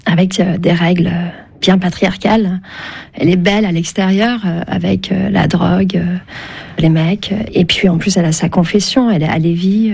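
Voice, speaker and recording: A woman's voice: lowish voice, gravelly, a little mellow, breathy edge. A Turkish-born woman, a novelist whose first language is Turkish, speaking French in a radio interview, thoughtful and hesitant, with many fillers and restarts. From Turkey. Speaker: novelist guest.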